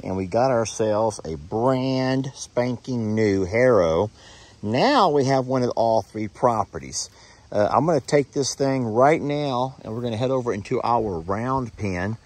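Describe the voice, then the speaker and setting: A man's voice: medium pitch, a little mellow. A man, chatting casually to his phone camera on a farm. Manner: easygoing, chatty, talks to his dogs. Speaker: vlogger. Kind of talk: casual farm vlog narration. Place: outdoors on a farm.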